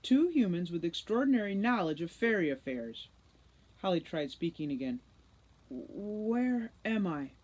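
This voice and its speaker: A woman reading a children's novel aloud as an expressive narrator. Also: fairly low pitch, moderate pace, low-quality recording. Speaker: read-aloud storybook narrator, teacher.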